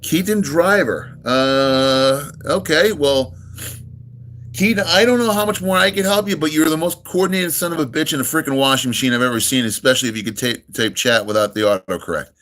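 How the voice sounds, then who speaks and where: A man's voice: mid-range voice, fairly quick, a bit bright. Middle-aged American guy from near New York, radio-style voice, easygoing live streamer who rambles through chat replies. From United States, near New York. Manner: laid-back, friendly, rambling with many ums and uhs. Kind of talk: casual live-stream chat, reading and answering viewers.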